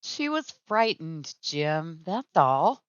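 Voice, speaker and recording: An actress playing a patient, gently teasing middle-aged wife and mother in an old radio family comedy. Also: low-pitched, very animated, slow pace, clean tone, full character voice. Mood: concerned, soothing. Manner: soothing, drily teasing. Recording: dull, roomy old radio recording.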